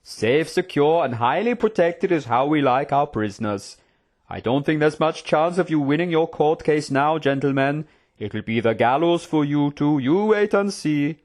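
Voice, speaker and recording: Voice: medium pitch, animated, normal speed, darkish tone, lightly acted. Narrator doing a smug, taunting prison guard. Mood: gloating.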